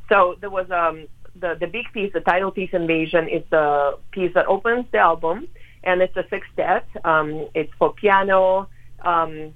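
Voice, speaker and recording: A woman's voice: on the low side, measured pace. A woman from Ukraine, a pianist, talking about her work in a phone interview, earnest and full of ums and uhs. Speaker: pianist guest. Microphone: telephone. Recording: tinny phone line.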